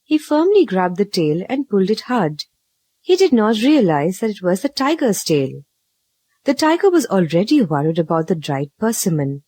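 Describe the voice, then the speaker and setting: A woman narrating a children's story from a script, with an expressive, dramatic read-aloud delivery. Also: mid-range voice, normal speed, no room sound.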